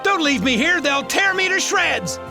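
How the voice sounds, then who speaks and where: An actor playing a smug, cowardly scientist with a formal, wordy manner in a video game cutscene. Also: male voice, very high voice, sing-song, moderate pace, creaky, full character voice. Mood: terrified pleading. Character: smug male scientist who bred aliens, cowardly under threat. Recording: loud music behind the voice. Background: music.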